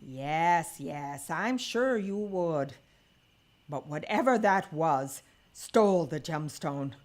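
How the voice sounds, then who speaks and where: A woman playing a weary, sardonic old woman in an audio drama, with a deliberate, dry and scornful manner. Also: sits low, animated, darkish tone. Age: older.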